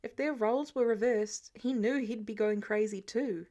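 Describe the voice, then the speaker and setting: A woman's voice: on the high side, normal speed, warm tone. A woman reading scripted story narration as an audiobook narrator. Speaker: fan fiction audiobook narrator.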